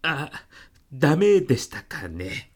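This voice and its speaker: A man's voice: medium pitch, sing-song, slow pace, breathy edge, exaggerated voice. A man playing an earnest, easily flustered middle-aged salesman in a comedic radio drama. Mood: polite, earnest. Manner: polite, hesitant, protesting.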